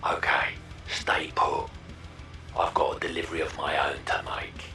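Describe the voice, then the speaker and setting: A gruff, shady guide from a grim sci-fi game, with a bottomless, hard-edged voice pushed into a whispery rasp. He speaks tersely and knowingly, sounding echoey and set back from the microphone. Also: very deep voice, flat delivery, moderate pace, bright, forceful tone, recorded from a distance, faint music behind, full character voice. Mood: terse, instructing. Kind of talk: video game voice acting.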